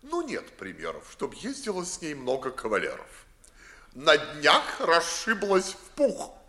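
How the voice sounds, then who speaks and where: A man playing a witty, biting young gentleman, declaiming mockingly in verse in a 1950s radio play. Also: on the high side, huge pitch swings, unhurried, exaggerated voice.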